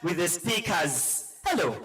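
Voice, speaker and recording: Play a TV presenter, a man, high-pitched, teasing and sing-song, with faint music under him. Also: very animated, unhurried, a bit bright, big-room sound. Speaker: TV presenter interviewing students. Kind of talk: casual on-the-spot interview.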